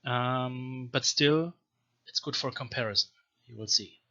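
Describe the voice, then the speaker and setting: A man giving an informal, scholarly language lecture in a deliberate, measured manner, as a teacher explaining and reading aloud. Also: mid-range voice, pushed and bright. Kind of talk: informal explanatory lecture with slow read-aloud text samples. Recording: dull, lo-fi microphone sound.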